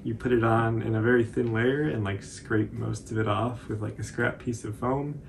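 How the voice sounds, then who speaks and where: A man's voice: medium pitch, steady tone, normal speed, vocal fry, a little hiss or hum. A man in his twenties with an American accent, chatting casually through a craft tutorial as host. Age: 20s. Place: small indoor room at home, on a couch.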